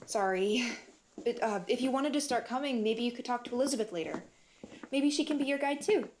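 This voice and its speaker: A woman's voice: a little high, lively intonation, a little fast, a little mellow, a little hiss or hum, lightly acted. A woman playing a hesitant, guarded member of a self-improvement group in a naturalistic audio drama. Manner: hesitant, apologetic.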